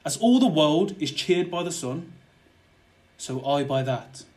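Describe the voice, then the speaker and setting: A smooth-tongued, murderous nobleman woos in old dramatic verse, acted by a young man. His voice sits a little high for a man, and he pleads and persuades with ardent flattery. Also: unhurried. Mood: ardent wooing. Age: young adult. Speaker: student actor. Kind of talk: Jacobean and Shakespearean verse audio drama.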